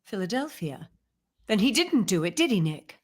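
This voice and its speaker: A woman's voice: mid-range voice, sing-song, moderate pace. A woman playing a witty, teasing, spirited detective's wife in a vintage radio mystery. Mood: eager. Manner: wry, playful teasing.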